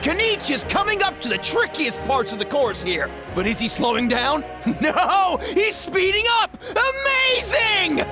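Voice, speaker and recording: A woman voicing an excitable sports commentator calling a race in a video game. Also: very high-pitched, measured pace, exaggerated voice. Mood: excited. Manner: animated play-by-play. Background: game music.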